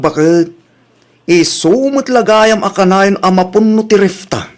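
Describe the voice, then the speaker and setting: A man playing an exasperated, blaming husband who nags and reproaches in a comedy drama. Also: extremely high pitch.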